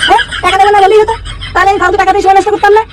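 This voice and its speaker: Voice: extremely high pitch, little pitch movement, very fast. An actor voicing a cheeky, foul-mouthed, whiny boy who is always broke and scheming, in a comic cartoon dub. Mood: demanding, defiant.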